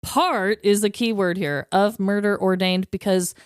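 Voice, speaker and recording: An American woman with a smooth, clear voice, recorded close and dry. She co-hosts a podcast and tells stories in a casual, chatty way, leaning on slang and emphasis. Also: mid-range voice, steady tone, unhurried, no echo. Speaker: podcast co-host.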